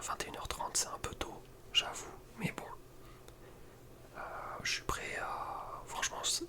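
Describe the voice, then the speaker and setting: A young man whispers softly and breathily in an informal, rambling ASMR chat. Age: young adult. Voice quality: soft, breathy unvoiced whisper. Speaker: video creator. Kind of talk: whispered ASMR chat about personal routine.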